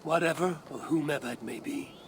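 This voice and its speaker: A woman's voice: low-pitched, brisk, slight background noise, close to an everyday voice. A woman playing a resolute, guarded Viking warrior in a video game, speaking in a terse, restrained and serious way. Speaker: game protagonist. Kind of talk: video game cutscene acting.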